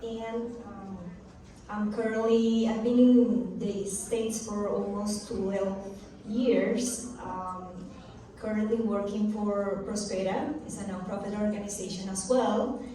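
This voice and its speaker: A woman from Colombia, introducing herself on a panel in a halting, slightly nervous way. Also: mid-range voice, fairly even intonation, warm tone. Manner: nervous, halting, many fillers. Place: convention hall. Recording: echoey hall from far off, clear noise.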